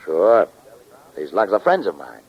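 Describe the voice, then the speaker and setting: A man playing a gruff, scheming crook and fence in a 1940s radio crime drama. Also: low voice, huge pitch swings, unhurried, exaggerated voice. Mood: gruff, curt. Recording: dull, noisy, crackly old radio recording.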